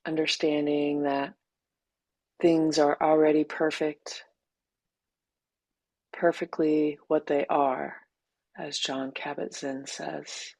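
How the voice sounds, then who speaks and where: Slow, low-voiced woman guiding meditation. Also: little pitch movement, dry recording. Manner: gentle, unhurried, long pauses between short phrases. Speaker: meditation teacher. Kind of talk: guided meditation.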